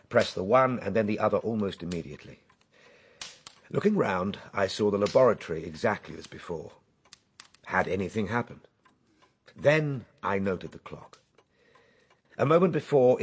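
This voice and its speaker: A man's voice: low-pitched, slightly rough. An actor playing an earnest Victorian inventor recounting his travels in precise, formal radio drama style.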